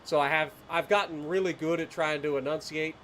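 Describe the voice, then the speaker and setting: A man from Ohio speaks with a light Appalachian twang, relaxed and chatty as he streams and answers viewers. His voice sits a little high for a man. A faint noise runs under the recording. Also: brisk. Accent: Appalachian (Ohio foothills), mild. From Ohio, USA. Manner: laid-back, chatty, talks to chat viewers by name. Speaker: game streamer. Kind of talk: casual live-stream chat while playing.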